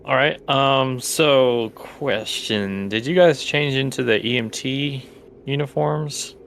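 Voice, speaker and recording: A man narrating a tabletop game as game master, halting as he thinks aloud. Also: medium pitch, creaky, a bit bright, slight background noise.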